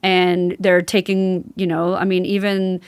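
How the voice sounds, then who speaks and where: Earnest woman hosting a podcast, fairly low voice. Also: level tone, unhurried, clear, resonant tone, no echo. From the US South. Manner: earnest, affirming. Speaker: podcast host. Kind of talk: conversational interviewing.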